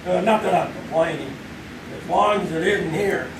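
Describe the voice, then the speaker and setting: A man's voice: sits high, moderate pace, noisy background, lightly acted. An actor playing Mike, a dry, sarcastic middle-aged father who teases his daughter's boyfriend, in a live stage radio drama. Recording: echoey theater sound from a distant mic.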